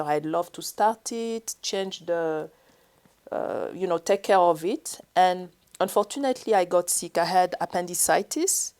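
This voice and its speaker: A woman's voice: medium pitch, a little mellow. A woman from the Democratic Republic of the Congo, answering interview questions in an earnest, explanatory way, listing points one by one. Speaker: energy regulator and professor, guest.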